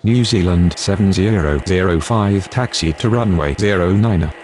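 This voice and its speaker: A man's voice: low voice, moderate pace, a little mellow, a little hiss or hum, close to an everyday voice. A man voicing a procedural airport radio operator, speaking formal, clipped aviation phraseology in a game. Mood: businesslike. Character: procedural male airport controller and pilot radio voice. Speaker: air traffic control radio voice.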